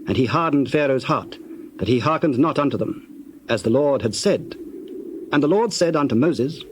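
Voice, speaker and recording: A man narrating dramatized scripture in a measured, formal way. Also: medium pitch, expressive, a little hiss or hum.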